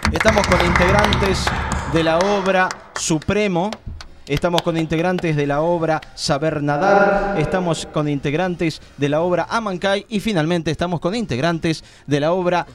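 An Argentine man hosting an informal live radio interview, talking in a halting, conversational way and repeating himself. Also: high voice, noisy background. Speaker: radio host interviewing theatre guests.

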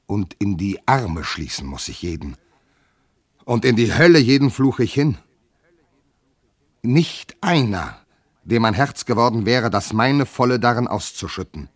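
Husky, bitter, jealous husband. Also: male voice, lowish voice, no echo, studio quality, exaggerated voice. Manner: brooding, indignant, declamatory. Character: bitter, jealous, hot-tempered wronged husband and general. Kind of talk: classical verse radio drama.

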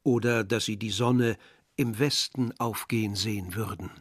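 An actor playing a reflective villager who narrates his memories in a measured way in a radio drama. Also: lowish voice, steady tone, no room sound, lightly acted.

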